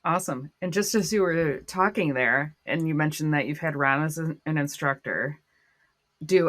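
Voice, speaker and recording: Very low-voiced woman hosting a podcast. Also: fairly bright tone. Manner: friendly, question-asking interviewer. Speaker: podcast host. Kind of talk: casual podcast interview.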